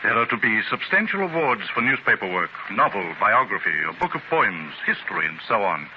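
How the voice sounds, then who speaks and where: Through a noisy vintage radio transcription comes a deferential, admiring private secretary. His lowish voice moves expressively up and down, polite and theatrical in 1930s style. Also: big pitch swings, fairly quick, highs cut off. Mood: informative. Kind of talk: 1930s radio drama acting.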